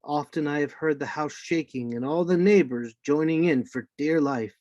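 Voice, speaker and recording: A man playing a grave narrator recalling his boyhood adventure, with measured dramatic radio play delivery. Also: a little high, darkish tone, no echo, muffled recording, lightly acted. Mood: grave, reminiscent. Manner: measured, literary storytelling.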